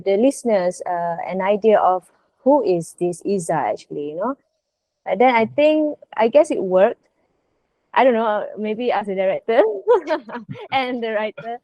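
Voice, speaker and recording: A woman talks slowly as an interview guest. Her delivery is casual and hesitant, in a mid-range voice recorded dry with little room sound. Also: medium pitch, no room sound, highs cut off. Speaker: voice actor, podcast guest.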